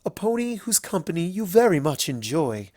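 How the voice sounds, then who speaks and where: A man voicing a teasing, regal pony princess, pitched high. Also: big pitch swings, darkish tone, no room sound, clean studio sound. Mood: smug, teasing. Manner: drawn-out, playful, knowing delivery. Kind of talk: fan fiction story reading.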